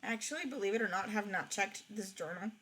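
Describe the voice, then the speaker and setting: A woman's voice: mid-range voice, brisk, a bit bright, a little hiss or hum. A young woman chatting casually while playing a game, rambling with frequent restarts. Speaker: streamer. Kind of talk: casual gaming chat.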